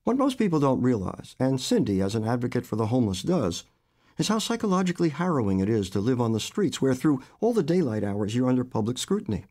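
A man in his seventies, an author reading his own short story aloud in a measured, expressive way. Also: mid-range voice. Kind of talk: literary fiction reading.

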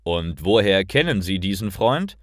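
A stern male border official, acted by a man narrating a story, questions with icy suspicion. The voice is lowish and dry, with slow, measured phrasing. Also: sing-song, dry recording. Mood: icy, probing.

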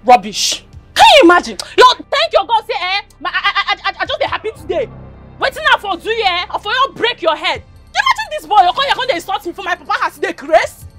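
A woman's voice: very high-pitched, big pitch swings, quick pace, a bit bright, faint music behind, full character voice. An actress playing a fiery young woman with a Nigerian accent who hurls scornful, Pidgin-laced insults. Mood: angry. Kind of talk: Nollywood-style drama acting.

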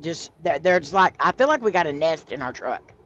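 A woman hosting a live stream over a video call, telling stories in a chatty, animated way and laughing as she goes. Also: low voice, fairly quick, slight background noise. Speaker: host of a paranormal live stream. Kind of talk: casual storytelling chat. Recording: slightly muffled video-call audio.

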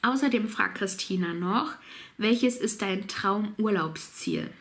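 Woman in her 30s with a cold, relaxed chatty q&a to camera at home, close up. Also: mid-range voice, slow pace. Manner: relaxed, chatty, laughs easily, reads out questions. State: has a cold. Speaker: mom vlogger answering viewer questions. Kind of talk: casual chat to camera. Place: small home office indoors.

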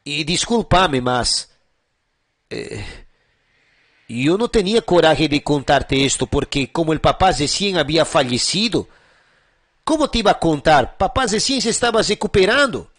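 A man playing a defensive, apologetic sister who explains herself haltingly in a dramatic story. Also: fairly high pitch, expressive, a bit bright, no echo, pitched below the performer's own voice. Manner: repeats denials, explains haltingly. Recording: music under the voice.

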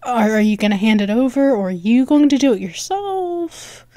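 A woman playing a flustered, bratty but affectionate cat-girl girlfriend in an ASMR roleplay, halting and teasing as she stammers. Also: a little high, animated, mellow, no echo, clean studio sound, close to an everyday voice. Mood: flustered, incredulous. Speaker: audio roleplay performer.

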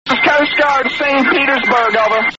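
An extremely high-pitched male radio operator gives formal, clipped coast guard calls, repeating set phrases. He comes through a muffled marine radio channel with loud static. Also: a little slow. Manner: clipped, procedural, repetitive. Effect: marine VHF radio. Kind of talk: formal radio dispatch procedure. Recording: noisy muffled 1980 marine radio recording. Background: heavy radio static.

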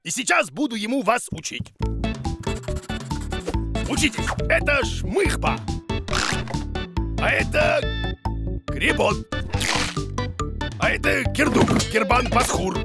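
A bumbling monster teacher from a kids' cartoon, excitable and bossy. The voice creaks and leaps all over in pitch, blustering and exclaiming theatrically, with music underneath. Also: slow, pauses often. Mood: mischievous. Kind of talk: children's educational cartoon. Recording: music behind the voice.

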